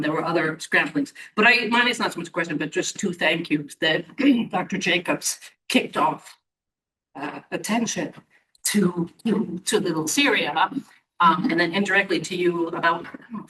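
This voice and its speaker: Woman, low-ish voice, halting rambling comment, echoey hall, far from the mic. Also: animated, fairly bright tone. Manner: rambling, hesitant, many restarts. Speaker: audience member. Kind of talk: audience comment at a symposium. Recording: roomy hall, distant mic.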